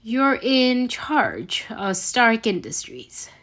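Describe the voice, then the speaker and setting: A woman's voice: fairly high pitch, sing-song, drawn-out words, a bit bright, full character voice. A woman voicing a caring, slightly awkward aunt in a fan fiction story, with a kindly, hesitant manner. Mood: stunned.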